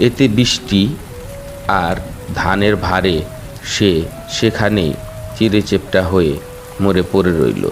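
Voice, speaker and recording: A man's voice: sits low, slow, pauses often, music in the background. A man narrating a children's cartoon fable as a scripted storybook tale.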